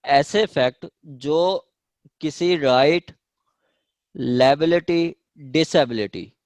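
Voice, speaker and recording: A man's voice: fairly high pitch, very slow, a little mellow, no room sound. A man with a Pakistani accent teaching law in an online class, repeating and rephrasing key points and checking understanding. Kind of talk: online lecture, explaining legal definitions. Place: online class over screen share.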